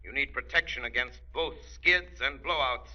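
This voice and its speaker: Mysterious avenger, very sharp forceful tone, stern warning, dull vintage radio sound. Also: male voice, mid-range voice, moderate pace. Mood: ominous, stern. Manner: forceful, dramatic. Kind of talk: 1930s radio advertisement. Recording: dull 1930s radio recording.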